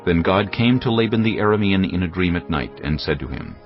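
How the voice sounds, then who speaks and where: Casting: male storyteller for audiobook, very low and animated, slightly muffled recording. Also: fairly quick. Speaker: narrator. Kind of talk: scripted audiobook narration. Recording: dull studio recording with music.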